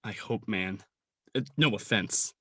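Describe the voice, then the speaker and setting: A young man acting in a comic radio play as a gruff, jaded old police sergeant, crude and rambling in his slangy talk. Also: lowish voice, a little slow, a bit bright, dry recording. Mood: rambling, nonchalant. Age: young adult. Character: gruff, jaded veteran male police sergeant near retirement.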